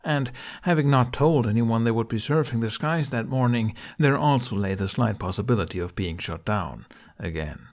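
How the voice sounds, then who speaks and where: A man reading fiction as an audiobook narrator, with expressive, dramatic storytelling. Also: medium pitch, normal speed, few pauses.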